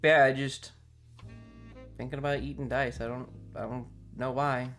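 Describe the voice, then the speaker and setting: A man's voice: medium pitch, little pitch movement, normal speed, fairly bright tone. Casual rambling male streamer over guitar music. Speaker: live streamer. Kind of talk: casual livestream chat with viewers.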